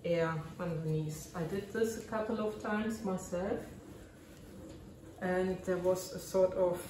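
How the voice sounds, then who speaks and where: Slow-spoken German woman, mellow and hesitant. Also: lowish voice, fairly even intonation, echoey room, far from the mic, slight background noise. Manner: hesitant, searching for English words. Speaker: resin art crafter. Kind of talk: casual crafting narration.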